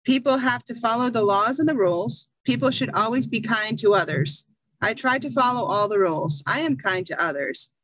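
A middle-aged American woman teaching an English class over a video call, speaking slowly and encouragingly from a small room at home. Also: fairly high pitch, a bit bright, no room sound, low-quality recording. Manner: slow, encouraging, reads a passage aloud. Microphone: video call webcam.

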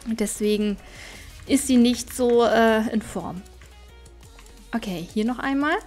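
A woman's voice: on the high side, takes it slowly, darkish tone. A woman gaming YouTuber giving casual, chatty let's play commentary, thinking aloud as she plays. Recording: music behind the voice.

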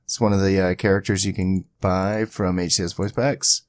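A man hosting an informal screen-recording software tutorial, rambling a little as he thinks aloud. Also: low voice, little pitch movement, moderate pace, no echo. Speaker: tutorial host.

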